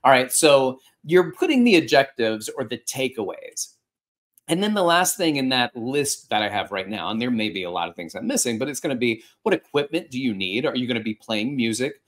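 A man in his forties hosting a fitness podcast from a home room, giving casual practical advice on a video-call style setup with lively gestures. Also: medium pitch, fairly quick. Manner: animated, gesturing, with frequent restarts. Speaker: podcast host and fitness instructor. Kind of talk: casual instructional talk. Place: home room with a couch and art.

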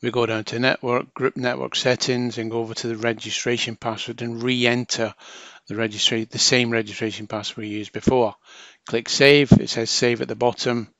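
A man's voice: mid-range voice, little pitch movement, moderate pace, few pauses, a bit bright, no room sound. A man narrating a hands-on technical tutorial as a trainer, explaining informally step by step.

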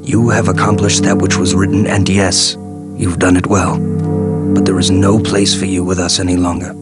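An actor playing a grave, cryptic elder of a secretive order in a video game, speaking in a solemn, formal, measured way. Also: male voice, deep voice, flat delivery, lightly acted. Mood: solemn, knowing. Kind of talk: video game cutscene acting. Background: prominent background music.

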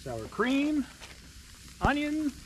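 A man's voice: very high-pitched, huge pitch swings, extremely slow, background noise. Laid-back man cooking at a snowy campfire. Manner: laid-back, chuckling, talks while working. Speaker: outdoor vlogger. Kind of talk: casual camp cooking vlog. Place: snowy campsite outdoors by a fire pit. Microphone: camera on a tripod a few feet away. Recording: outdoor ambience and sizzling fire.

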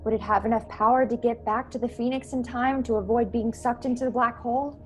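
A woman's voice: fairly high pitch, steady tone, fairly quick, a little mellow, close to an everyday voice. A young woman playing a grieving starship crew member in a science-fiction radio play, telling her story dramatically. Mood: sombre recounting. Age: young adult. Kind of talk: dramatic first-person radio play narration. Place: bedroom at home, video call. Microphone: earphone headset on video call.

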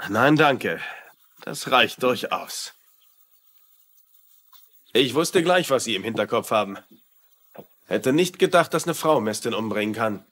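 An actor playing a gruff, stubborn small-town marshal in a dubbed TV drama. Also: male voice, medium pitch, expressive, unhurried, pauses often, a bit bright. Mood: suspicious.

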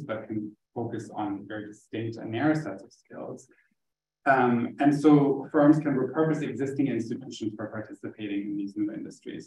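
A man's voice: mid-range voice, a little mellow. A man from Germany, a native German speaker, giving an explanatory academic seminar talk with a loosely hedged delivery. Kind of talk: academic lecture. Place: indoor seminar room, streamed over video call. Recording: roomy, distant mic in seminar room.

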